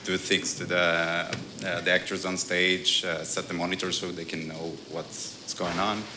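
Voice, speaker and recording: A man working as a technical operator, haltingly explaining his job. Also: low-pitched, flat delivery. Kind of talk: unscripted explanation. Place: backstage at a theatre. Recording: echoey, noisy backstage area.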